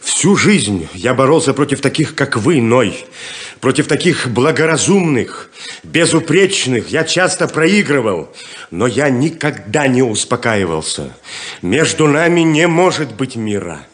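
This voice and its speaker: An actor playing a proud, defiant drifter and dreamer, declaiming theatrically in a 1970s Soviet radio play. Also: male voice, mid-range voice, sing-song, a little slow. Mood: defiant, impassioned.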